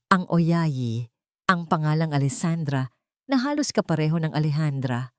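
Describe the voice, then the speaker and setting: A woman reading a scripted dramatic story as narrator, pausing between short phrases. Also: low-pitched, no echo, studio quality. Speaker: narrator of audio love stories.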